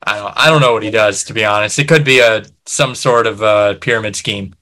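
A man's voice: on the low side, fairly quick, bright, forceful tone. A man hosting a podcast, asking his guest questions in a friendly, easygoing way. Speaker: podcast host. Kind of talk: casual podcast chat.

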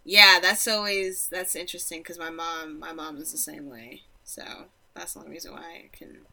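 A young woman of Nigerian background co-hosting a podcast, speaking casually and thoughtfully in a deep voice. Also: moderate pace, creaky. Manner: reflective, animated laughter. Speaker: podcast co-host. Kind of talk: casual chat.

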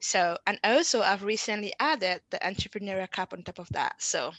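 A woman's voice: medium pitch, fairly quick, a bit bright, no echo. A woman from Cameroon speaking as an interview guest in a conversational style. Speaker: podcast guest, founder and management consultant.

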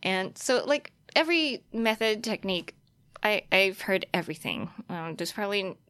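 A woman's voice: mid-range voice, lively intonation, normal speed, fairly bright tone, no echo. A young adult woman, chatting casually as a guest in an interview. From Australia, born in Taiwan. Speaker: podcast guest, fashion influencer.

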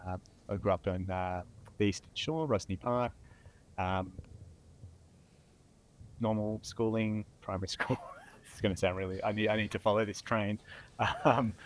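Low-voiced aussie guy from tassie, modest and reflective, bit of faint hiss behind. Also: fairly even intonation, moderate pace, pauses often. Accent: Australian. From Tasmania, Australia. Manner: reflective, modest, many fillers. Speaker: guest, veteran. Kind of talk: interview.

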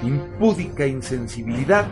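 A male radio host reading a scripted segment in an emphatic, expressive way, over background music. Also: medium pitch, normal speed.

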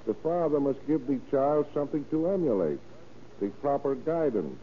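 Male sheriff, solemn formal speechmaking, moralizing, medium pitch, tinny 1930s radio line, faint noise. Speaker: sheriff, guest speaker. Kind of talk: formal scripted radio address. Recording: thin, phone-like 1930s radio transmission.

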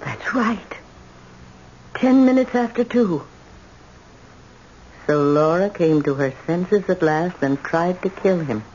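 Low-voiced proud old grandmother. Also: slow pace, long pauses, a little mellow. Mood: bitter. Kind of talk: old-time radio drama acting. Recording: muffled, hissy old radio recording.

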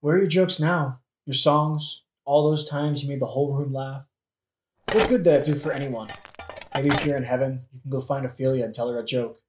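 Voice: mid-range voice, fairly quick, close to an everyday voice. Actor as moody young prince, sarcastic modern dude, sweary n dramatic, echoey audio play, bit of noise. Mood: brooding. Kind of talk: satirical modern-language audio play acting. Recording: echoey room with faint noise.

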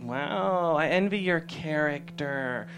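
A man's voice: on the high side, takes it slowly, fairly bright tone, faint music behind. A man playing a crude, sarcastic tough-love gym boss at a sitcom table read. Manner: sarcastic, profane, hectoring.

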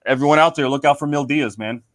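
Relaxed man from Ohio telling stories. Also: on the high side, fast talker, dry recording, clean studio sound. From Cincinnati, Ohio, US. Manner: relaxed storyteller, says "man" often. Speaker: cigar company guest. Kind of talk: casual podcast chat.

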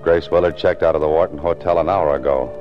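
Very deep-voiced hard-boiled radio detective. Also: male voice, steady tone, fast talker. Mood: brooding. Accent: American. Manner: terse, self-questioning. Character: hard-boiled male author-detective, brooding, determined, narrating his case. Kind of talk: 1940s radio acting, noir narration. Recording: muffled 1940s radio recording, music behind.

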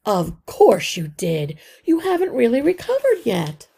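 A caring mother mouse from a children's storybook, voiced by a woman reader. She is gentle but firm, with a raised, lilting pitch that rises into soothing concern and mild scolding. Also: huge pitch swings, unhurried, gravelly, slightly rough, heavily acted. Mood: gently scolding. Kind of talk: children's storybook read-aloud.

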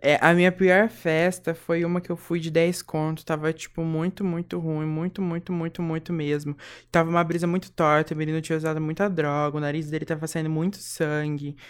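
A woman's voice: deep voice, level tone, fairly quick, few pauses, clear, resonant tone. A young woman with a Brazilian caipira accent, co-hosting a casual chat in a blunt, emphatic way and repeating words for stress. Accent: Brazilian caipira (São Paulo countryside).

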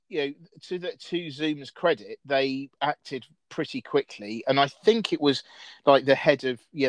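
A man's voice: medium pitch, no room sound. A man hosting a casual discussion, rambling with frequent restarts and fillers. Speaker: host.

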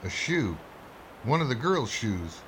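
A man's voice: medium pitch, sing-song, slow pace, a bit bright, slight background noise, low-quality recording. Man playing a dramatic, wide-swinging old-time radio doctor. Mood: urgent. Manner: commanding, dramatic. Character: stern, urgent small-town doctor leading a night search.

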